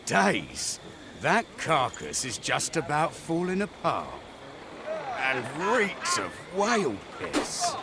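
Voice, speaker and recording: An actor playing a callous, sneering pirate lowlife in a video game, over outdoor background noise. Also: male voice, huge pitch swings, slow, gravelly, full character voice. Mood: scornful. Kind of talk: video game dialogue acting.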